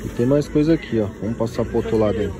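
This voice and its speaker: A man's voice: medium pitch, racing, over music. A young man casually showing off toys while walking through an outdoor flea market, filming on a handheld phone and pointing things out constantly. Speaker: toy collector vlogger. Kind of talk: casual walk-through commentary. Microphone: handheld phone camera.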